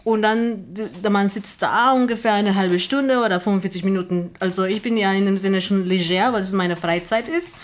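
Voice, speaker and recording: A woman's voice: medium pitch, normal speed, hardly any pauses. A woman from Houston, Texas, a guest on a radio panel, speaking German as a with frequent grammar slips and fillers. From Houston, Texas, USA. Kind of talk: casual radio panel chat.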